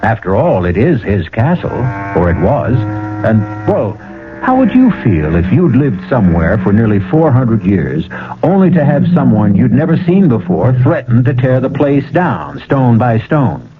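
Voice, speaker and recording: A man's voice: medium pitch, sing-song, moderate pace, narrow, tinny audio. A man hosting a radio drama, narrating theatrically and addressing the listener in a wry, conspiratorial way. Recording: thin old radio recording. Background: music.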